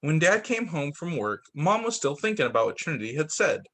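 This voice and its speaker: A man reading a children's picture book aloud like a teacher at story time, expressive, with the odd stumble. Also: on the high side, fairly quick. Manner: expressive, voices the dialogue with slight shifts; stumbles and corrects himself while reading.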